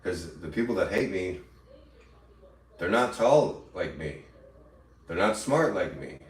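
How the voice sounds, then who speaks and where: A man livestreaming an angry, profane rant that taunts his critics, now and then mimicking them in a shrill mocking voice. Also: very animated, slow pace. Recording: echoey room, voice from far off the mic.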